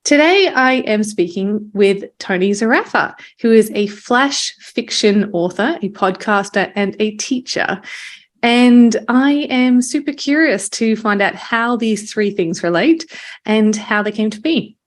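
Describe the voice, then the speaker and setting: A woman's voice: mid-range voice, animated, measured pace. A woman hosting a podcast, introducing the show and chatting with a guest in an interview. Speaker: podcast host.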